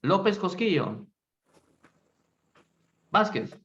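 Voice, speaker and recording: Man, teacher calling on students, brisk, slightly high voice, muffled call sound. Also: big pitch swings, fairly bright tone. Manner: brisk, quizzing students in Spanish. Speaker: English teacher. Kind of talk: online class, calling on students.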